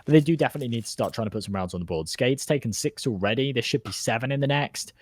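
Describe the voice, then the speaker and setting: A man's voice: medium pitch, lively intonation, rapid-fire, no room sound. Give me a casual British man analysing an esports match, recorded dry and close. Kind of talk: live color commentary.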